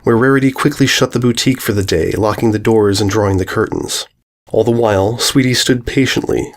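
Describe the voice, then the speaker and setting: Measured male story narrator. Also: medium pitch. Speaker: audiobook narrator.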